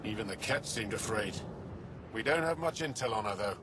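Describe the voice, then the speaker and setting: An actor playing a matter-of-fact alien lookout soldier in a video game, with a blunt, dry manner. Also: male voice, fairly low pitch, lively intonation, a little fast, lightly acted. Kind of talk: dramatic game dialogue. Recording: clear noisy outdoor ambience behind.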